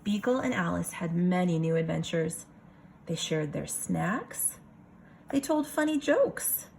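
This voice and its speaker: Woman reading a kids storybook, takes her time, bit of fry in the voice, chatty asides, slight noise behind. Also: fairly low pitch, poor audio. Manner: expressive, pausing to comment and wonder aloud. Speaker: kindergarten teacher reading a picture book aloud. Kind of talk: storybook read-aloud with casual asides to children.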